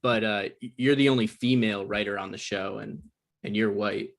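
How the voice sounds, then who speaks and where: An actor playing an awkward, eager, put-upon young job seeker with a halting, flustered way of talking in a comedy table read. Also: male voice, on the low side, fairly even intonation, creaky. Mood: eager, hesitant.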